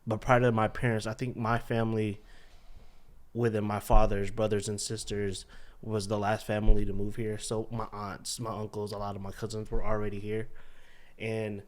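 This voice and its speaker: A man in his twenties from the Philippines, a podcast guest talking in a relaxed, friendly way and often saying man. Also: lowish voice, moderate pace. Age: 20s. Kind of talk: casual interview.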